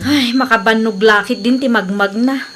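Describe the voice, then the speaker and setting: Female actor for impatient, bossy employer, high voice, Ilocano Filipino accent, radio drama comedy. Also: moderate pace, loud music behind the voice, lightly acted. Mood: annoyed.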